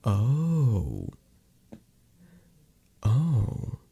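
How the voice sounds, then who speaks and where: Man acting a dark, husky mafia boss lover. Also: huge pitch swings, no room sound, heavily acted. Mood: tender, flirtatious. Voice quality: breathy, husky, low.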